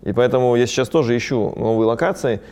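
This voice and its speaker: A man talks rapidly and casually, as though answering an interviewer's questions. His voice is dark and mellow, and his speech is full of small fillers and restarts. He sounds like a business owner describing his own operation. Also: mid-range voice. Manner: fast, conversational, many fillers. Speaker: ski resort business owner.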